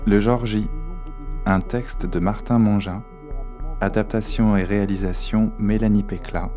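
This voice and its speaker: A man's voice: on the low side, fairly even intonation, a little slow, mellow, soft background music. A man reading out scripted credits as the announcer of an audio fiction.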